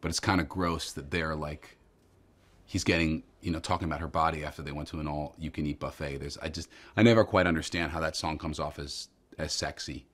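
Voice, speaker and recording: A very deep-voiced man in his thirties with a New York accent. He rambles and hesitates, restarting his sentences, in a relaxed comedic back-and-forth recorded indoors. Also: a little fast. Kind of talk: comedic scripted banter. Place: living room, indoors.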